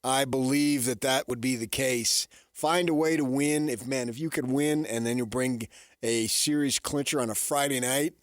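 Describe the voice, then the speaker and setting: A man's voice: mid-range voice, fairly even intonation, normal speed, no room sound, clean studio sound. A man hosting a sports radio show, talking casually in a rambling monologue and often answering his own questions. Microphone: studio radio microphone.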